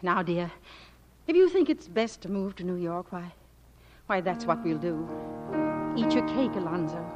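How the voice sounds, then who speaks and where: Wry devoted radio mother, lively pitch. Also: unhurried, pauses often, lo-fi sound. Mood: gentle, resigned. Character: middle-aged mother, wry yet devoted wife. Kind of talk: 1940s radio acting. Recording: old radio broadcast with loud music.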